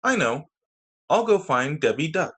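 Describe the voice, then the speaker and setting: Man voicing a wistful storybook mother hen, lively pitch. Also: a little slow, breathy edge, heavily acted. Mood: proud, eager. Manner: storybook character read aloud to children. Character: proud mother hen, growing glum and wistful.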